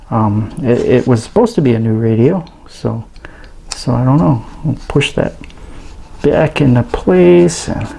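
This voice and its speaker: A man giving a casual product review in a halting, understated way. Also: medium pitch, vocal fry, warm tone, breathy edge. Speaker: radio reviewer. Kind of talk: casual unboxing review narration.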